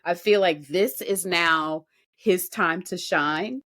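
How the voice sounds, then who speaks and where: A woman's voice: on the low side, slow, a bit bright. A woman co-hosting a podcast, giving unscripted music show commentary in an emphatic, analytical way. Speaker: podcast co-host.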